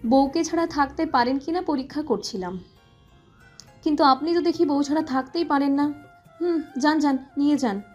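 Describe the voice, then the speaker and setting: A woman's voice: sits high, normal speed, darkish tone, faint music behind, lightly acted. A woman playing a mischievous, teasing young sister-in-law in an audio story, slightly muffled.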